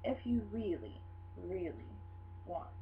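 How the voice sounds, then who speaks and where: A woman voicing a patient, somewhat reluctant mother in a children's storybook, measured and coaxing. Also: on the low side, big pitch swings, recorded from a distance, pitched below the performer's own voice, full character voice. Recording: dull lo-fi sound with hum.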